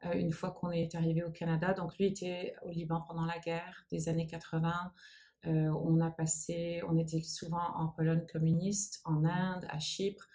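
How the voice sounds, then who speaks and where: A woman's voice: lowish voice, completely flat delivery, moderate pace, mellow. A woman in her forties whose first language is English, speaking French with an English accent, answering interview questions thoughtfully and searching for her words. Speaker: guest, nonprofit founder. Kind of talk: interview conversation.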